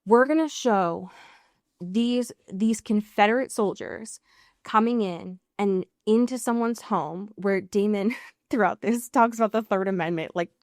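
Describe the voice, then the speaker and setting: A woman's voice: mid-range voice, measured pace, darkish tone, no echo, studio quality. A woman co-hosting a podcast in a casual recap chat, informal and wry, with some swearing. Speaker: podcast co-host.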